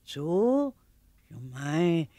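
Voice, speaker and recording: An actress in a German radio play, playing a homely, fussing housekeeper with an Austrian-Bavarian accent. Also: female voice, lowish voice, sing-song, drawn-out words, mellow, heavily acted. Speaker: actress in an audio drama.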